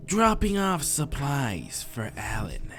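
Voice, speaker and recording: A man voicing a courteous horned carter in a visual novel, with drawn-out, formal speech. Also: medium pitch, huge pitch swings, over music, voice pushed up. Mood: slow, courteous. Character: slow, courteous horned male supply carter. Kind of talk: visual novel character read aloud.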